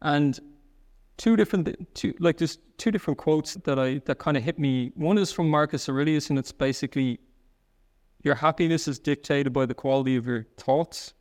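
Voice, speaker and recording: A man in his thirties with a soft Irish accent, talking earnestly in an interview, often restarting and using "like". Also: a little high, big-room sound. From Ireland. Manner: earnest, quick, with restarts and "like" fillers. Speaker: guest. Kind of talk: conversational interview.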